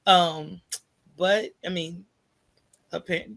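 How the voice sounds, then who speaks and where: A woman's voice: fairly low pitch, steady tone, extremely slow, creaky, no echo. A middle-aged woman telling her own story as a podcast guest, candid and informal. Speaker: podcast guest, small business owner. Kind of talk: conversational personal storytelling.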